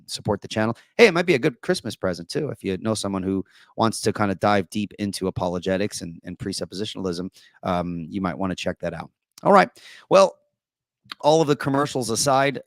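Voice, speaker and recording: Male host, low voice still rough from recent voice loss, chatty with fillers, dry close mic. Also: dry recording. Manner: chatty, with many fillers and restarts. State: voice still deep after losing it. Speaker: apologetics host and teacher. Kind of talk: casual live-stream talk.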